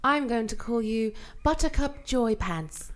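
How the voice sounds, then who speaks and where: A woman playing a serene cult leader with a soft, sing-song, preachy manner. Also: on the high side, animated, a little slow, a loud crowd.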